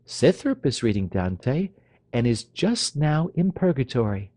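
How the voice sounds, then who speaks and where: A man's voice: medium pitch, big pitch swings, unhurried, warm tone, no echo, studio quality, voice pushed up. A man voicing a coquettish, teasing young woman with a playful, mocking lilt.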